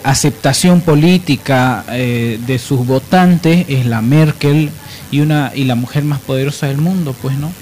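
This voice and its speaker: A man hosting an informal political radio discussion, halting as he searches for words. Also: fairly high pitch, darkish tone, a little hiss or hum. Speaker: radio show host.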